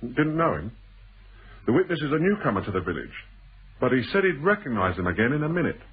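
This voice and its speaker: An actor playing a shrewd, insinuating stranger in a British radio thriller, with a teasing, deliberate way of probing and a husky voice. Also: male voice, mid-range voice, sing-song, close to an everyday voice. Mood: sly storytelling. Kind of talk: British radio drama acting. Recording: muffled band-limited old radio broadcast.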